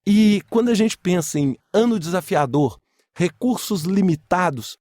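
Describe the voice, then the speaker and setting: Man, Brazilian accent, somewhat high pitch, podcast host, informal, emphatic, rhetorical questions, dry recording. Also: expressive, measured pace, a little mellow, dry recording. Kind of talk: informal solo podcast commentary.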